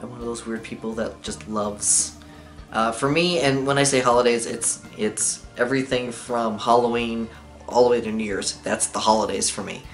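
Gravelly-voiced man rambling to camera. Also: medium pitch, steady tone, moderate pace, soft background music. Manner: rambling, filler-heavy, chatty with small laughs. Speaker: vlogger. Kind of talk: casual talk to camera. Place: living room with lamp and couch, indoors.

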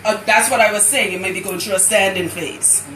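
A woman's voice: medium pitch, brisk, echoey room, soft background music, rough recording. A woman with a Trinidadian accent, chatting boisterously on a live stream while working as a loctician in a hair salon. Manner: boisterous, animated, laughing. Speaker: loctician host.

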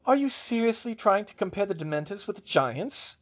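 A young British witch professor, played by a male narrator, pitched high and swinging widely as she argues her case. She is passionate and defensive, with indignant, emphatic protests in a storybook telling. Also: sing-song, a little fast, a bit bright, exaggerated voice.

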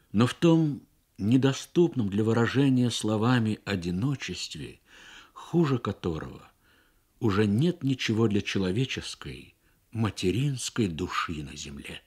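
A man narrating a literary story for radio with measured, expressive phrasing and deliberate pauses. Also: medium pitch. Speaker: story reader.

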